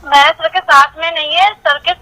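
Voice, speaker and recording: High, bright-voiced woman on the phone. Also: steady tone, moderate pace. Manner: hesitant, repetitive, evasive. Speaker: colleague of a missing woman, on a phone call. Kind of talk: informal phone conversation. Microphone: telephone. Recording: recorded telephone call.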